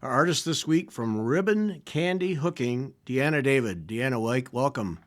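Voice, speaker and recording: A man hosting a podcast and interviewing a guest, smooth on sponsor reads and halting when he asks questions. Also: medium pitch, animated, no room sound. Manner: halting, restarts and fillers when asking. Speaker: podcast host.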